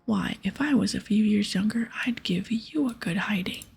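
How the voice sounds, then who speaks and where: A woman's voice: mid-range voice, moderate pace, a little mellow. Girl playing a huffy old guy who hates visitors, whispery n crackly game voice. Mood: huffy, defensive. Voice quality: breathy whisper. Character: grumpy, evasive old sick man, suspicious of strangers. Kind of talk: whispered ASMR reading of game dialogue.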